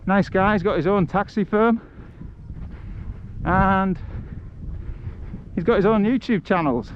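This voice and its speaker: Male livestream host, casual and chatty on a walk, muffled audio with clear wind noise. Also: sits high, slow pace. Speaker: livestream host and travel vlogger. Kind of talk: casual livestream chat while walking. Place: outdoors, walking along a village road. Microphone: action camera on a head strap. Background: wind and ambient outdoor noise.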